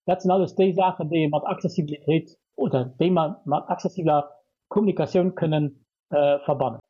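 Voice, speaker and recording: A man's voice: fairly high pitch, a little fast, dark tone, husky, highs cut off. A man with a Luxembourgish accent, a guest on a radio program, explaining his work in a hesitant way with many fillers. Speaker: guest from a communication center. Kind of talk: radio interview answers.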